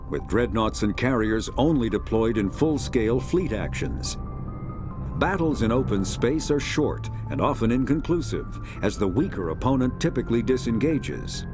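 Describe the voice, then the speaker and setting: A man narrating a science fiction game's encyclopedia in a measured, formal, authoritative documentary style. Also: medium pitch, close to an everyday voice. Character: formal male narrator of a sci-fi codex. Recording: music behind the voice. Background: clear background music.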